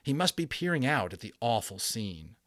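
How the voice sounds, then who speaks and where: A man's voice: medium pitch, very animated, moderate pace, fairly bright tone, dry recording, studio quality. A man narrating a storybook in a dramatic reading, with theatrical emphasis and a storyteller's delivery. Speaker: audiobook narrator.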